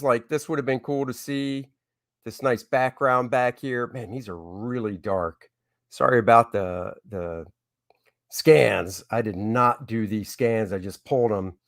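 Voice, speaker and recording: A man's voice: mid-range voice, dry recording, clean studio sound. A man giving casual, jokey reaction commentary as an artist hosting his own channel. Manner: jokey interjections.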